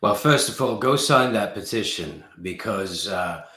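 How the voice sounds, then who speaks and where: A man's voice: fairly low pitch, lively intonation, unhurried, pushed and bright, roomy sound. A young man from Pakistan with a well-traveled British accent, chatting as a guest in an interview, hesitant with many restarts. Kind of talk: casual interview chat.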